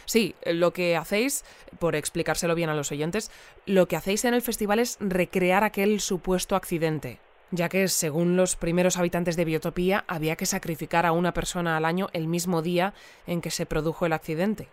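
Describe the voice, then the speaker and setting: Actor doing a radio news interviewer, middle pitch, inquisitive, natural audio drama delivery. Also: fairly even intonation, normal speed, close to an everyday voice. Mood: composed, probing.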